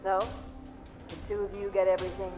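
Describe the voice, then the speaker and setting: An actor playing a brisk, casual male underworld contact in a game cutscene, clipped in speech, with dull sound. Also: sits high, completely flat delivery, over music, lightly acted. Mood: offhand. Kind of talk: video game cutscene acting. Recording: dull, band-limited game audio.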